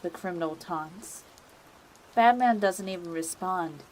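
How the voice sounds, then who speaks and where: A woman's voice: fairly low pitch, unhurried, a little hiss or hum, low-quality recording. A woman reading scripted narration for a dramatic audio story.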